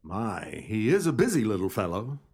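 Guy playing a nervy but game sidekick fella, old radio play, polite n theatrical, super animated pitch. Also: normal speed, very noisy. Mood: intrigued. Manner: theatrical, exclamatory, old-fashioned polite phrasing. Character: obliging male companion, skittish but gamely brave.

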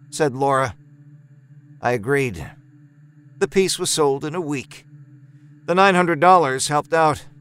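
A man narrating dramatic first-person horror fiction in a measured, deliberate, articulate manner. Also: on the high side, a bit bright, smooth. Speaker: storyteller.

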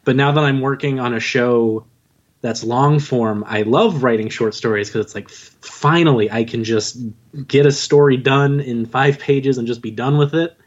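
A man's voice: medium pitch, moderate pace, highs cut off. A man chatting informally as a podcast guest about his own writing, with a self-deprecating manner. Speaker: podcast guest, audio drama writer and narrator. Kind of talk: casual interview chat.

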